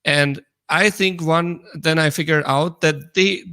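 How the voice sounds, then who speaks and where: A man with a Pakistani accent talking casually as a podcast co-host, explaining things earnestly in halting non-native English. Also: on the high side, fairly even intonation, sharp tone, clean tone. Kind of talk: casual personal conversation.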